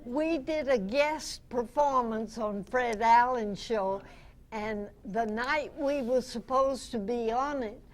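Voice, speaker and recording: A woman in her nineties, a veteran actress, reminiscing before a club audience in a folksy, halting, chuckling way. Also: fairly high pitch. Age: 90s. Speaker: veteran radio actress, guest speaker. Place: club meeting room. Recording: noisy amateur meeting recording.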